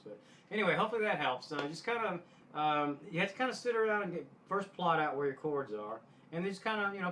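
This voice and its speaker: A man giving casual guitar instruction in a halting way, often backtracking and clearing his throat. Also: on the high side, a bit bright, slight background noise, rough recording. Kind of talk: casual instructional talk to camera.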